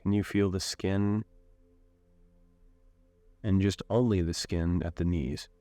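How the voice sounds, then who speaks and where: A man leading a guided meditation in a calm, unhurried way, giving gentle instructions with long pauses. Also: deep voice, no echo.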